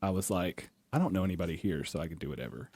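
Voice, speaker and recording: A man's voice: deep voice, animated, racing, mellow, a little hiss or hum. A man co-hosting a casual podcast, making dry, self-mocking remarks. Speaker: co-host.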